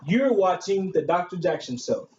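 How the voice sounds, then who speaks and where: A man's voice: sits high, lively intonation, moderate pace, dark tone. A man playing a gushing, chatty talk show host in a staged amateur show. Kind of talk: hosting a staged student-made talk show. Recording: echoey, muffled room recording with hiss.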